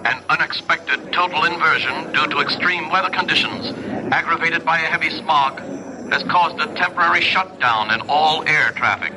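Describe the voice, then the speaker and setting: An actor playing a formal airport announcer in a vintage radio drama, speaking in an emphatic official manner. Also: male voice, a little high, normal speed, close to an everyday voice. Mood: formal, urgent. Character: formal male airport public-address announcer. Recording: old radio broadcast, aircraft noise.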